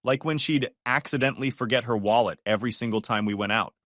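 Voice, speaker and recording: A man's voice: medium pitch, fast, a bit bright, dry recording, clean studio sound. A man narrating his own story in the first person, in a sarcastic, wry way.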